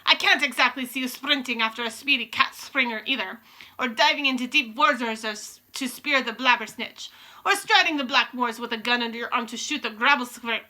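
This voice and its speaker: A woman voicing an imperious, gleefully cruel witch leader in a storybook, theatrical and commanding, with drawn-out exclamations. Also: on the high side, sharp tone. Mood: haughty.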